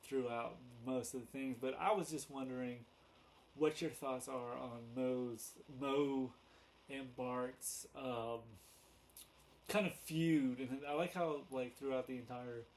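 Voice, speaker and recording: A man hosting a casual, unscripted fan commentary video, halting and rambling as he goes, often correcting himself. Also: mid-range voice, steady tone, a little mellow. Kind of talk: casual unscripted chat to camera. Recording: noticeable background noise.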